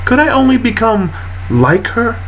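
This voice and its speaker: A man reading poetry aloud in a solemn, literary style, with deliberate, measured phrasing and frequent pauses. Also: very high voice, big pitch swings, slight background noise, poor audio. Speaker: poetry reader.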